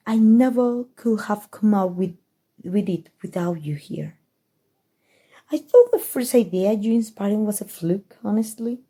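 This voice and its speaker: Woman acting as evil queen, Mexican accent, condescending and scheming, mellow tone, unhurried, ASMR roleplay. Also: fairly high pitch, slightly rough. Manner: slow, measured, musing delivery addressed to a listener.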